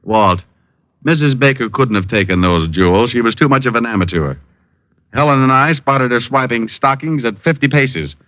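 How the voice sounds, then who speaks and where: A man's voice: medium pitch, animated, moderate pace, no room sound, lightly acted. A man playing a cool wisecracking radio private eye. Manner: dry, wisecracking, coolly interrogating. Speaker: private detective Richard Diamond. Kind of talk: 1940s radio acting. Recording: dull lo-fi old radio recording.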